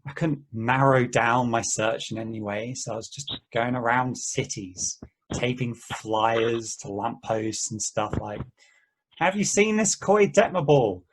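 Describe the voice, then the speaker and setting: A man playing an easygoing game player in an amateur table read, talking in a halting, conversational way. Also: mid-range voice, a little hiss or hum, natural voice, rough recording. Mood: reminiscing. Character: easygoing, rambling male player of an endless treasure-hunt game.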